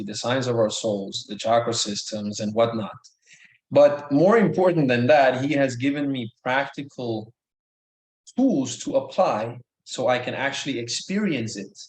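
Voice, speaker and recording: A man in his thirties from Norway, telling his story as a podcast guest in an earnest, enthusiastic way, often repeating himself. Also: mid-range voice. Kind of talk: casual storytelling. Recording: echoey room.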